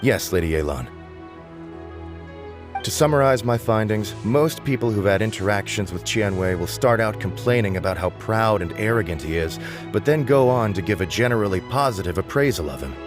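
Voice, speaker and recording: An actor plays a boastful young male informant who is always bragging about staying one step ahead. His voice sits fairly low and his tone is cocky and teasing. Faint game music plays behind him. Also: animated, moderate pace, natural voice. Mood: reporting. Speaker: game character.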